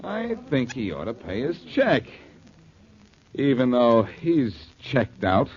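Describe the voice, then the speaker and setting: Cocky, animated radio detective, old muffled recording. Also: male voice, mid-range voice, slow. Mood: wry, teasing. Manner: wry, needling, animated. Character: cocky wisecracking male amateur detective, cool and teasing. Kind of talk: 1940s radio detective drama acting. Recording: dull lo-fi 1940s radio recording.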